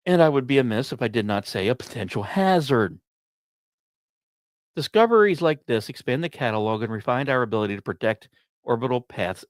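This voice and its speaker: A man's voice: medium pitch, no echo. Man narrating a science podcast, dry close sound. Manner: dramatic storyteller phrasing with deliberate pauses. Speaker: podcast host. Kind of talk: scripted science narration with casual asides.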